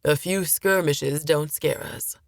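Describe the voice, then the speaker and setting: A guarded fantasy traveller, a man who stays cool and protective, as a woman narrator reads him. His voice is low-set and firm, in a dry recording. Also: slow, creaky, dry recording, heavily acted.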